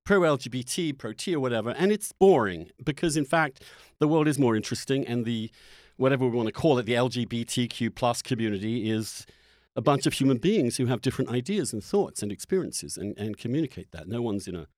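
Male podcast host, mid-pitched voice, close dry recording, halting conversational delivery with emphatic key words. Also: lively intonation, darkish tone, no room sound. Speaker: host interviewing guests. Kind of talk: conversational podcast introduction and interview.

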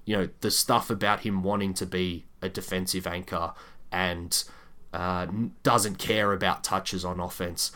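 A man co-hosting a sports podcast, giving measured, candid analysis in a casual discussion. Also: deep voice, fairly even intonation, sharp tone.

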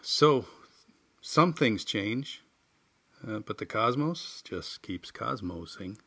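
Bright-voiced, low-pitched folksy man. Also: expressive, takes it slowly, slightly rough. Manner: wry, folksy, self-deprecating. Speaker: writer and podcast host. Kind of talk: reading a humorous personal essay and chatting informally.

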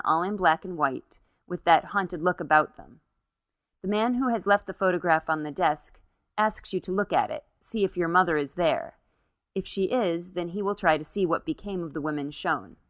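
A woman's voice: on the low side, dry recording, clean studio sound. A woman narrating a literary short story as its reader.

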